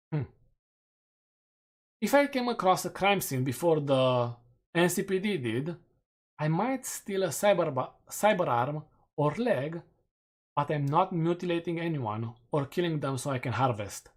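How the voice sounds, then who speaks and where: A man's voice: fairly high pitch, expressive, unhurried, long pauses. A man with a Romanian accent, a streamer casually going over community poll results with self-mocking jokes. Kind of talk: casual chat reading community polls.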